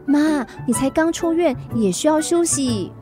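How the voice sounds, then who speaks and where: An actress playing a caring, cheeky young daughter in a radio drama, by turns soothing and teasing. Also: female voice, high-pitched, lively intonation, unhurried, music in the background. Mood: concerned. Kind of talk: Mandarin radio drama acting.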